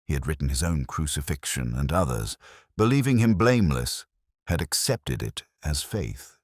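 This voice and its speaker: A man playing a weary, melancholy detective, narrating a mystery in the first person in a measured, contemplative, literary way. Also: extremely low pitch, sing-song, dry recording, clean studio sound, full character voice. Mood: melancholy, reflective.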